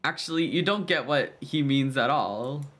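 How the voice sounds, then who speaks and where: A man's voice: medium pitch, animated, normal speed, a bit bright, a little hiss or hum. A man giving casual live reaction commentary to a game, gushing and exclamatory with frequent gasps.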